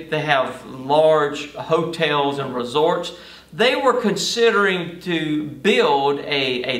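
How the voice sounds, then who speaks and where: Higher-voiced male pastor in an echoey room. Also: a bit bright, distant mic. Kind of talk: Bible study sermon and prayer. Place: indoor studio or stage with lit backdrop. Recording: roomy, echoing, off-mic sound.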